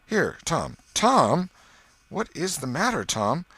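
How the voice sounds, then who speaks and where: Man as fretful young boy, high pitch, urgent, anxious, lively swings, storybook reading. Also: takes it slowly, breathy edge, dry recording, heavily acted. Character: anxious, easily frightened young boy, the brother.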